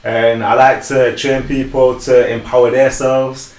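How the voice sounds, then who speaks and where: A man's voice: medium pitch, level tone, measured pace, a little mellow, echoey room. A man, a personal trainer, introducing himself in an earnest, upbeat way. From Sheffield, England. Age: 30s. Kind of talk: casual self-introduction.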